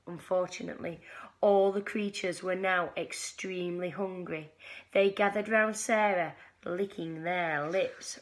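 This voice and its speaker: A woman in her thirties reading a children's picture book aloud expressively, with dramatic pauses and drawn-out key words. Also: fairly low pitch, steady tone, low-quality recording. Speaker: storyteller reading a bedtime picture book for schoolchildren. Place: small living room at home, indoors.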